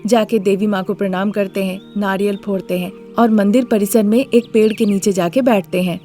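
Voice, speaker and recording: A woman storyteller at a medium pitch reads a scripted moral tale. Faint music plays behind her narration. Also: steady tone, normal speed, mellow.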